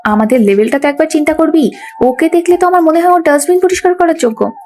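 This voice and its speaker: A woman's voice: high voice, fast talker, a little mellow, close to an everyday voice. A woman playing a snobbish, status-obsessed young rich friend in an audio story, disdainful and indignant. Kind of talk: Bengali audio story acting. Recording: background music under the voice.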